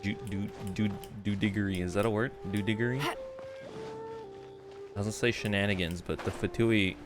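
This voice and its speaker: A man's voice: on the low side, steady tone, moderate pace, music in the background. A young man doing casual livestream game commentary and reading text aloud, slangy and musing. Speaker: game streamer.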